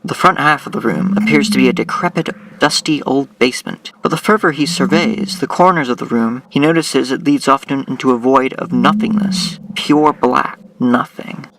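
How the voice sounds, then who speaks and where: A man's voice: medium pitch, normal speed, vocal fry, few pauses, a bit bright. A man narrating a scripted audio drama. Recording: noisy, distant-miked, with clicks.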